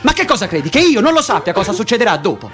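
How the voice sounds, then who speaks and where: A man's voice: high-pitched, very animated, racing, a bit bright, soft background music. An actor playing a stubborn, touchy, foul-mouthed male prisoner in a radio play, blunt and combative. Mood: indignant, angry. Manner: blunt, combative, insistent, repeats himself. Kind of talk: naturalistic Italian stage-style radio acting.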